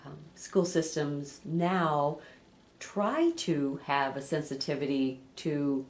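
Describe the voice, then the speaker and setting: A woman asking conversational interview questions, measured and pausing between phrases. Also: low-pitched. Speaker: oral history interviewer.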